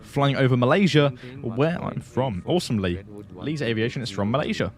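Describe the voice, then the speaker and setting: A man's voice: mid-range voice, animated, moderate pace. A chatty man hosts a live stream and greets his viewers one by one. He laughs easily and keeps up a loose, friendly patter. Faint music plays underneath. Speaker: livestream host. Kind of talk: casual live stream chat.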